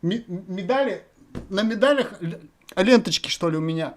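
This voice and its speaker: A man's voice: high voice, animated, normal speed. A man from Russia vlogging from random video chats, thinking aloud to his viewers in a rambling, hesitant way full of filler words.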